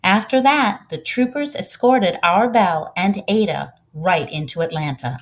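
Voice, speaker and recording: A woman reads a children's storybook aloud as a volunteer narrator, with expressive delivery. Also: lowish voice, a little slow.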